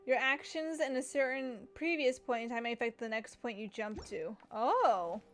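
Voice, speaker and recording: A woman's voice: fairly high pitch, normal speed. A woman giving casual, reactive commentary while playing a game, rambling and thinking aloud as she goes. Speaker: gamer streaming a playthrough.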